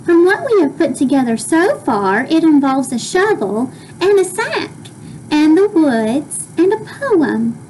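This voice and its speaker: A woman reading aloud voices a calm, formal doctor speaking in measured sentences. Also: sits high, a little hiss or hum, voice pushed up, low-quality recording.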